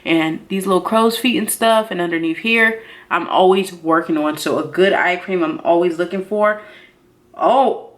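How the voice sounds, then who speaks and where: A woman in her thirties chatting casually and excitedly to viewers as a beauty vlogger, expressive and slangy, repeating herself for emphasis. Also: lowish voice, moderate pace. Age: 30s. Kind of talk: casual excited unboxing chat.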